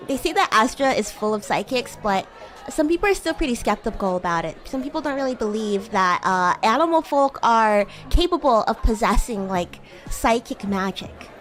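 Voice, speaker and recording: A woman roleplaying a playful, bratty demon classmate on a livestream, chatting in a halting, improvised way. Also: on the high side, lively intonation, faint music behind. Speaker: streamer and VTuber host.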